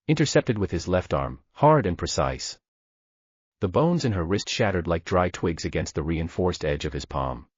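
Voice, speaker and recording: A man's voice: deep voice, lively intonation, normal speed, slightly rough, dry recording. A man giving grave, dramatic narration of a science fiction story. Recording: dull dry lo-fi recording.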